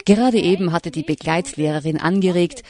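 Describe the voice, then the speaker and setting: A woman narrating a radio feature in a reflective, questioning, measured style. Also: on the low side, darkish tone. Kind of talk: scripted documentary narration.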